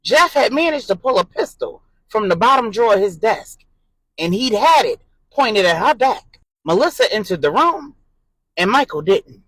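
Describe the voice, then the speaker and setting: A woman narrating an adult drama story in an expressive, suspenseful way and voicing the characters' dialogue. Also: fairly high pitch, normal speed, husky, no room sound, low-quality recording.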